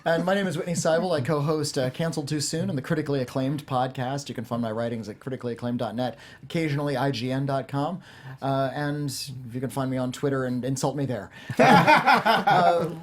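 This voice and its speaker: Wry male panel host, mid-pitched. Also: brisk. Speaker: host, film critic. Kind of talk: casual panel talk.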